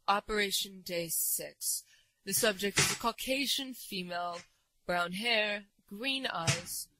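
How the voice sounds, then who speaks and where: A woman playing a composed, clinical nurse giving measured, formal reports in a horror audio drama. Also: medium pitch, background noise, natural voice.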